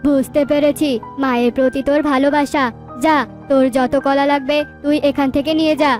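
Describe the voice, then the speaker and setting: A woman's voice: sits high, little pitch movement, fairly quick, a little mellow, faint music behind, close to an everyday voice. A woman voicing a kindly male bird who owns a grove in a children's cartoon. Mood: stern, then kind.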